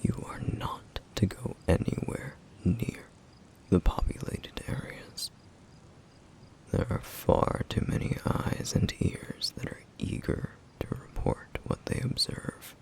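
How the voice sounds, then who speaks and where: A man playing a brooding, protective flame demon in an ASMR roleplay, speaking in a grave, breathy, gravelly near-whisper with deliberate pauses. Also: a little mellow, lightly acted. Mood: stern, urgent. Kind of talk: ASMR roleplay, intimate scripted monologue.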